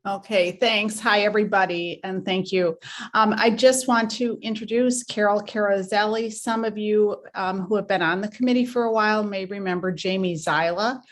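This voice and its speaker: A woman's voice: medium pitch, moderate pace, a little hiss or hum. A woman, a city staff member, speaking briefly and in a friendly way at a public meeting.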